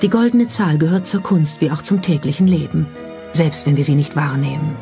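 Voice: mid-range voice, brisk. A narrator delivering a scripted documentary voice-over. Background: background music.

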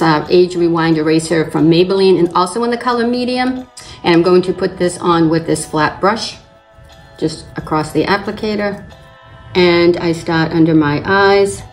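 An older woman hosting a beauty channel, slightly raspy from allergies, calmly explaining each makeup step in front of a fixed camera. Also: lowish voice. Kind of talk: makeup tutorial narration. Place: indoors in front of a plain backdrop.

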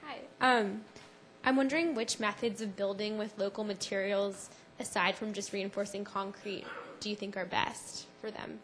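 A woman's voice: medium pitch, steady tone, moderate pace, a bit bright, a little hiss or hum. A woman in a lecture audience asking a short, tentative question.

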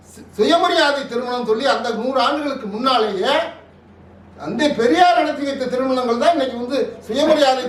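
A man with a Tamil Nadu accent giving impassioned political commentary in an interview, forceful and oratorical, full of rhetorical questions. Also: very high-pitched. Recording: echoey room, distant microphone.

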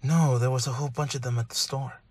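Husky, grieving young father, acted by a man. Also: mid-range voice, steady tone, lightly acted. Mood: weary, tender. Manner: soft, halting, emotional delivery with sighs. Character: gentle, grieving young father, remorseful and tender. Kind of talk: anime fan dub acting.

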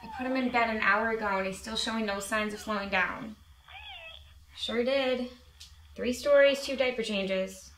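A woman's voice: on the high side, moderate pace, a bit bright, natural voice. Echoey, exasperated young babysitter, played by a woman. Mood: venting, wry. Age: young adult. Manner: sarcastic, exasperated, conversational. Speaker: actress in a short horror film. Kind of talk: naturalistic short film acting. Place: indoors in a house living area. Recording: echoey room with background noise.

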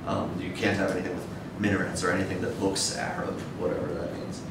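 A man in his thirties giving an academic lecture in a measured, hesitant way. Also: flat delivery, gravelly. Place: dim wood-shelved seminar room with audience, indoors. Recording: echoey room, distant mic, noisy rumble.

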